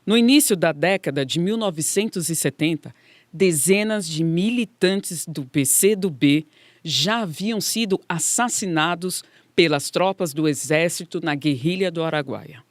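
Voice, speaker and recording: A woman's voice: fairly low pitch, a little slow, no room sound. A woman with a Brazilian accent reading scripted narration for a radio documentary.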